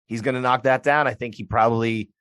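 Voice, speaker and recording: A man's voice: mid-range voice, steady tone, fairly bright tone, no room sound, studio quality. A man co-hosting a podcast, talking casually and at length, often restarting his sentences. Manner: chatty, restarts often, puts on quick voices for others. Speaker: podcast co-host. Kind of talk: casual sports chat.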